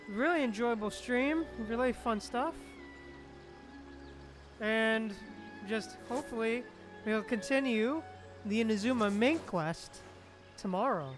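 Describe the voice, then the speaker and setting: Game music plays clearly behind a livestream host with a mid-range voice. The talk is casual and conversational, aimed at viewers in chat. Phrases often come back a second time as the host replies. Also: mid-range voice, lively intonation, takes it slowly, pauses often. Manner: repeats phrases, replying to chat.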